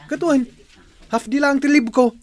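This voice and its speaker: A young man playing a busy working son who grumbles and sounds hurried. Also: very high-pitched, steady tone. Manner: complaining and hurried. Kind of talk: radio drama acting.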